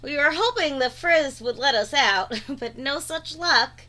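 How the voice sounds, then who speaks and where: A woman reading a storybook aloud to children in an expressive, dramatic way, stretching words for emphasis. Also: high-pitched, unhurried.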